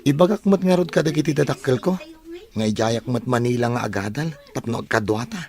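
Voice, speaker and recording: A man's voice: mid-range voice, expressive, fairly quick, darkish tone, lightly acted. A man playing an eager, friendly young student in an audio drama.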